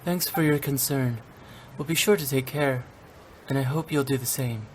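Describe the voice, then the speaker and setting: A woman playing a gentle, diligent government secretary in a video game, speaking politely and soothingly. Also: rumbling low, moderate pace, a little hiss or hum. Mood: courteous, reassuring. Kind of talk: English video game voice acting.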